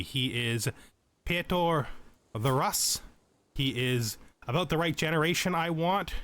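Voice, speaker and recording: A man with a somewhat higher-pitched voice hosts a game walkthrough. He talks casually and in an explanatory way, thinking aloud and correcting himself along the way. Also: fairly bright tone. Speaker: let's play tutorial host. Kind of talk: casual game tutorial commentary.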